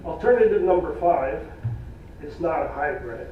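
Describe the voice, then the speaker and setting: A man's voice: a little high, expressive, slight background noise. Man presenting in an echoey meeting room. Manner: plain, folksy, halting with fillers. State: losing his voice. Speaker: staff presenter at a public meeting. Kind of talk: presenting cost estimates. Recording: echoey room from far off, dull.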